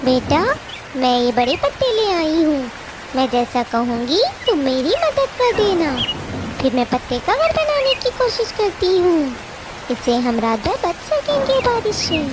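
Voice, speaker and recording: A woman's voice: very high voice, sing-song, a little slow, heavy background noise, heavily acted. A woman voicing a protective, caring mother bird in a children's cartoon story, earnest and motherly. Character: gentle, caring mother bird, protective of her young son.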